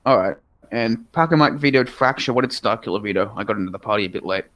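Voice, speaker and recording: A man's voice: fairly low pitch, fast, fairly bright tone. An Australian man giving live, chatty game commentary as a caster, upbeat and informative. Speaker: esports caster.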